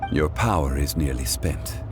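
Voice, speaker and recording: A retired god who now lives as an ordinary man in a fantasy game. His voice is very deep, with formal, composed and stately phrasing. Music plays clearly behind him. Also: unhurried. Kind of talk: video game voice acting, dramatic dialogue.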